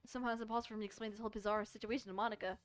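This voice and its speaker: High-voiced woman reading a visual novel aloud. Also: rapid-fire. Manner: expressive, giggly, stumbles while reading. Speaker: streamer playing a visual novel. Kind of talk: dramatic read-aloud with casual commentary.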